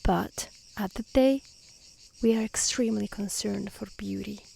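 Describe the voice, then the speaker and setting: A woman with an Italian accent speaking softly and calmly in an ASMR style, choosing her words carefully as a non-native speaker, outdoors. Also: medium pitch, unhurried, gravelly, slight background noise. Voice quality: soft, gentle voice. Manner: calm, careful delivery in non-native speech. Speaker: streamer and storyteller. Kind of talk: soft-spoken ASMR reading and chat. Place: outdoors in nature near mountains.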